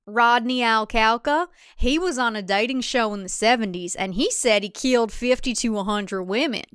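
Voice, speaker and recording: A woman's voice: a little high, moderate pace, a bit bright, smooth, no room sound, clean studio sound, lightly acted. Woman doing an oblivious, creepily eager oddball man. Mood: droning. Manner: droning, giggly, socially awkward.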